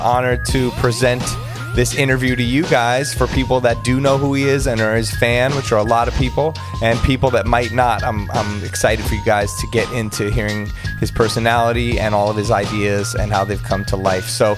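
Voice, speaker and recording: A man hosting a podcast, interviewing his guest with excited, hyped-up energy. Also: mid-range voice. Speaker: podcast host.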